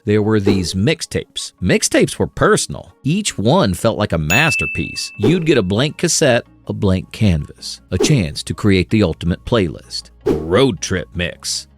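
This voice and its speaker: A man narrating a scripted, nostalgic video essay in a playful, dramatic way with short punchy phrases. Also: lowish voice. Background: music under the voice.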